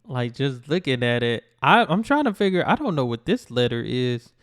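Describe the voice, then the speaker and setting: A man's voice: mid-range voice, big pitch swings, moderate pace, clear, resonant tone, no echo, clean studio sound. A young American man hosting a reaction video, talking in a chatty, rambling and enthusiastic way. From United States. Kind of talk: casual reaction commentary.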